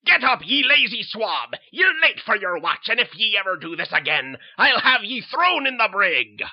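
A tyrannical old sea dog barks orders in a gravelly, husky roar, as a man puts him on. His voice swings widely from low growls to high shouts. It comes through a dull, lo-fi recording. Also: animated, a little slow, no echo. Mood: bellowing. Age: older. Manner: bellowing pirate-style seaman's talk. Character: gruff old male retired sea captain, bullying.

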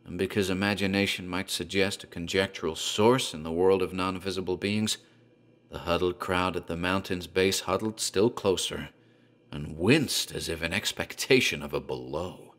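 A man narrating a horror story in a dark, deliberate, theatrical way. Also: low voice.

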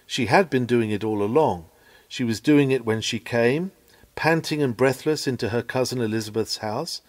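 A man reading a written sermon aloud in an earnest, oratorical manner with measured phrasing. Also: mid-range voice. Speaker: sermon reader.

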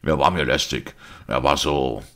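Defiant carefree captain, deep and gravelly, voiced by a man. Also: moderate pace. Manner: excited, careless.

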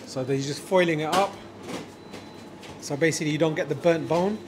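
A man's voice: on the high side, moderate pace, background noise. A man, presenting a casual food vlog on a lapel mic in a restaurant kitchen, enthusiastic and talking straight to camera. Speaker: food vlogger. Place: restaurant kitchen and dining room.

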